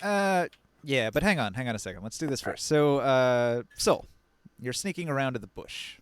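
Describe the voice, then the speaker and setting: Smooth-voiced man running a tabletop game. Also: mid-range voice, animated, normal speed, dry recording. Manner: plays the NPCs in his own voice, narration with short quoted lines. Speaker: game master. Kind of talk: narrating a tabletop game live.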